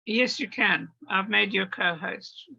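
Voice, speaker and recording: A woman chairing an online meeting, speaking slowly with many fillers. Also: mid-range voice, creaky, sharp tone, husky, dry recording, muffled recording. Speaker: meeting host.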